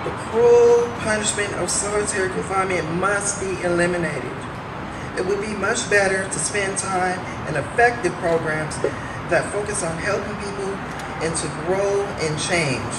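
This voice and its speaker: A solemn former prisoner, played by a stage reader gives earnest, wounded testimony in a deliberate dramatic reading. The voice is distant in an echoey room, under loud noise. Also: medium pitch, natural voice. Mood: pained, resolute.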